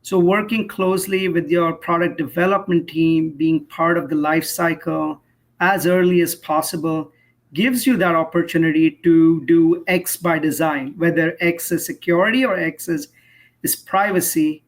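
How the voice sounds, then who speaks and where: A man speaking as an expert interview guest, explaining in an articulate, measured way and posing rhetorical questions. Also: a little high, steady tone. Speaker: cybersecurity professional, interview guest.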